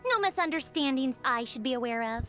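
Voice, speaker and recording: A woman's voice: high voice, lively intonation, brisk, pushed and bright, soft background music. A woman playing a haughty, refined noblewoman in a video game, dryly gracious with a teasing manner. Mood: coolly authoritative. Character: haughty young noblewoman villain-turned-ally, dryly gracious.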